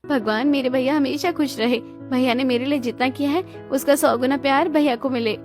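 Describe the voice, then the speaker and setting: A woman's voice: high voice, steady tone, fairly quick, music in the background, close to an everyday voice. A woman voicing a sweet, devoted younger sister in a family cartoon, with an affectionate, pleading manner. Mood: devout, grateful.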